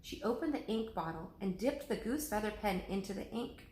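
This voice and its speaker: A woman, a teacher, reading a children's chapter book aloud with lively, expressive voices. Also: medium pitch, normal speed, echoey room.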